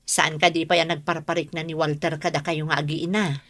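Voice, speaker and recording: A woman's voice: deep voice, little pitch movement, fairly quick, fairly bright tone, no echo. A woman playing an outspoken, protective older confidante in a radio drama. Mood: concerned. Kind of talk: Ilocano radio drama acting.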